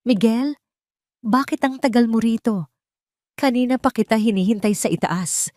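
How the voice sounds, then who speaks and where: A woman's voice: fairly high pitch, normal speed, a little mellow, dry recording, clean studio sound, pitched above the performer's own voice, lightly acted. A woman voicing a scheming mistress with an affected, coaxing sweetness in an audio drama. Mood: sweetly complaining. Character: sweet-voiced, scheming mistress of a rich man.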